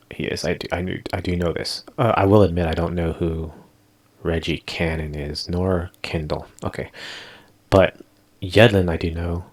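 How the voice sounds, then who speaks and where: Low-voiced dude rambling thru tweets, lots of "you know" and "dude", super laid back. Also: male voice. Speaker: podcast host. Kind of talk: casual solo commentary reading tweets aloud.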